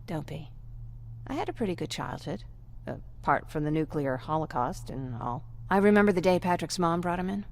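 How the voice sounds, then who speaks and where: A woman playing a gentle, wistful young survivor with a creaky voice in a video game. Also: deep voice, animated, moderate pace, a little hiss or hum. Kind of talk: video game dialogue acting.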